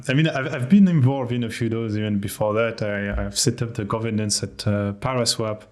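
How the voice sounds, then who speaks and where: French-accented male guest, low-ish voice, candid, self-mocking. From France. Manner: candid, self-deprecating, quick laughs. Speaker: podcast guest. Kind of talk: casual podcast interview.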